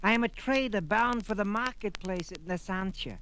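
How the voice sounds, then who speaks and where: An actor playing a small, shriveled, scheming desert slave trader in a 1970s audio drama, with an oily, theatrical manner. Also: male voice, high voice, normal speed, fairly bright tone, slight background noise. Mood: smug, oily.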